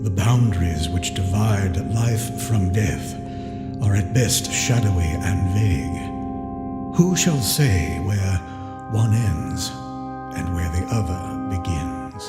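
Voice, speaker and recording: A man playing a grave, ominous reciter in an audio drama, speaking slowly and dramatically. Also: low voice, expressive. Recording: long echoing reverb under loud music. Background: loud music.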